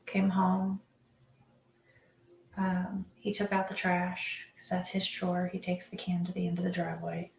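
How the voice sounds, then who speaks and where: A mother recounting the day in an interview, halting with many ums. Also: lowish voice, completely flat delivery, creaky, reverberant, rough recording. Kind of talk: recorded interview.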